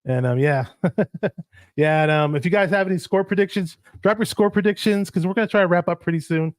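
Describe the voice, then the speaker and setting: A man whose first language is Arabic, co-hosting a casual sports livestream and shouting out viewers in the chat. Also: sits high, brisk, dry recording, clean studio sound. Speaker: podcast co-host.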